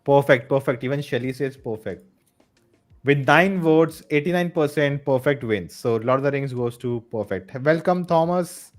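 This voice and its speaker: A man's voice: mid-range voice, moderate pace, faint music behind. A man hosting a live stream, upbeat and reading out chat votes. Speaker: stream host.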